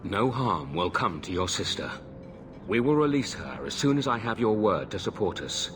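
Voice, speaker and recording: A man's voice: on the low side, big pitch swings, normal speed, noisy background. A man playing a principled, weary leader of conspirators in a fantasy game, earnest and firm. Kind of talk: fantasy video game acting.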